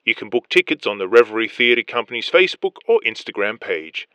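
A man's voice: fairly low pitch, big pitch swings, brisk, a bit bright, smooth, no echo. A man reading a scripted promotional announcement as an announcer.

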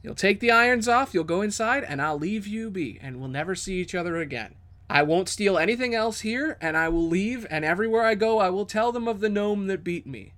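Man doing a high-pitched, chatty female kobold thief. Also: animated, brisk, fairly bright tone. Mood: eager bargaining. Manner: stammering, casual, eager to bargain. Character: scrawny female kobold thief, nervous, chatty, pleading bargainer.

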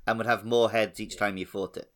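A man's voice: on the low side, flat delivery, brisk. A man hosting a podcast in casual conversation, with a playful, wry manner. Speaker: podcast host. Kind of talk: casual chat.

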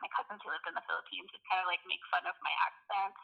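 Young woman guest, gravelly voice, muffled lo-fi audio, hesitant and chatty. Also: lowish voice, steady tone, racing. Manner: rambling, hesitant, frequent fillers and laughs. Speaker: interview guest. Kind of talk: casual conversation.

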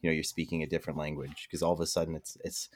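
A man's voice: extremely low pitch, flat delivery, rapid-fire. A man with a Canadian accent joining a podcast as a guest, tired, rambling and self-deprecating with laughs. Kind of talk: casual podcast chat.

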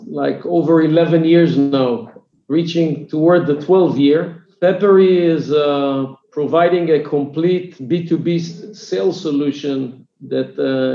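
An Israeli man in his fifties speaks with a gravelly, mellow voice that sits a little high for a man. He talks haltingly and leans on many fillers. His audio sounds dull and echoey, like a remote call in a bare room. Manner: hesitant, many fillers and restarts. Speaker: guest, company CEO. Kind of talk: business podcast interview.

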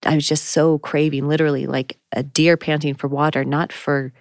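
Low-voiced woman musing on faith. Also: flat delivery, normal speed, no echo, clean studio sound. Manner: thoughtful, searching, with frequent ums. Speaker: podcast co-host. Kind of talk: reflective faith conversation.

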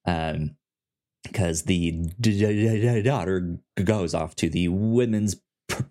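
Stuttering man with a low voice. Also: takes it slowly, warm tone. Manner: stutters heavily on first sounds. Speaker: podcast co-host. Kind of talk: casual chat.

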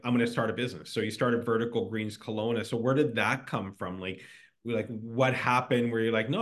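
Male host, casual and eager, filler-heavy questions, mid-range voice. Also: mid-range voice. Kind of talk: casual business interview. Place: business with indoor garden.

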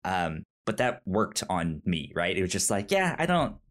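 A man co-hosting a podcast, chatting casually about film in a halting way, often stammering and repeating the starts of words. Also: low voice, very animated. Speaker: podcast co-host.

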